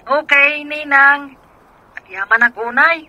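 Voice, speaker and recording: A woman's voice: sits high, measured pace, pushed and bright, muffled recording, heavily acted. A woman with an Ilocano accent voicing a grateful, polite young godson in a radio drama.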